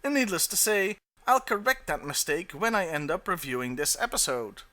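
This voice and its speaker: A man's voice: high voice, animated, moderate pace, sharp tone. A man narrating a scripted countdown review as the host.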